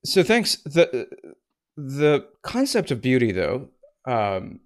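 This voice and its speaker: Halting male podcast interviewer, mid-range voice. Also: medium pitch, wildly swooping pitch. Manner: halting, tentative phrasing.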